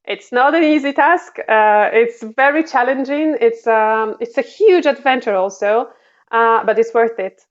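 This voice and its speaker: A woman's voice: on the high side, unhurried, lo-fi sound. A woman diplomat with a Polish accent answering interview questions, with many fillers and restarts. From Poland. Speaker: diplomat guest.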